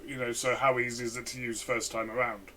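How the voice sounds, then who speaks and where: A man co-hosting a casual review discussion, giving measured, softened opinions and often chiming in to agree. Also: medium pitch, flat delivery, crowd noise. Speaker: review show co-host.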